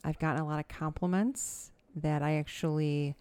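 Soothing low-voiced woman on a dry mic. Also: steady tone, moderate pace, a little mellow, smooth, dry recording. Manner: easygoing, laughs often. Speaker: podcast co-host. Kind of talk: casual podcast chat. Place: home dining room.

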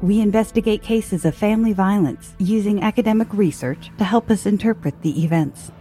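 Female trailer narrator and host: earnest, measured, warm dark tone, light music underneath. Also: medium pitch. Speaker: podcast host and college professor.

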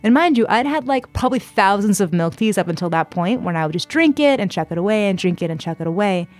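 A woman's voice: mid-range voice, lively intonation, fast, soft background music. A woman in her twenties from San Diego, telling her story in a chatty interview style full of "like" and "uh". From San Diego, California, US. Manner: chatty, frequent fillers like "like" and "uh". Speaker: guest.

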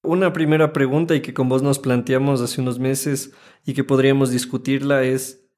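A man's voice: medium pitch, flat delivery, normal speed, a little mellow. A man in his thirties with an Ecuadorian Spanish accent, co-hosting a casual, conversational podcast. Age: 30s. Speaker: podcast co-host.

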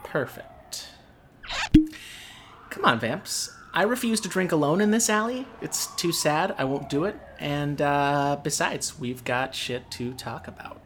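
A man's voice: fairly high pitch, a little slow, a bit bright, slight background noise. An actor playing a brash, teasing, cocky man in an audio drama. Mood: cocky, coaxing. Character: brash, teasing, cocky, foul-mouthed drinking companion.

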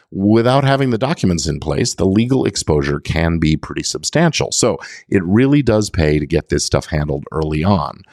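A man's voice: very deep voice, a little mellow, dry recording, studio quality. A man hosting a podcast, explaining things in a methodical, conversational monologue. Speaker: podcast host giving legal guidance.

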